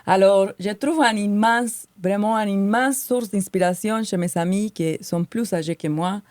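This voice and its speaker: A woman's voice: medium pitch, measured pace, a little mellow, dry recording. A woman in her forties from Colombia, with a light Colombian Spanish accent, co-hosting a podcast and speaking earnestly from prepared notes. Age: 40s. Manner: earnest and heartfelt. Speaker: podcast co-host.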